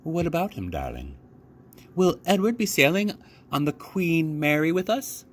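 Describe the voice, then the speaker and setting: A man's voice: a little high, very animated, measured pace, a little hiss or hum, pitched above the performer's own voice. A man voicing an earnest young girl in a storybook, uncertain and eager in manner. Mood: anxious. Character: Abilene, earnest, anxious young girl who adores her rabbit.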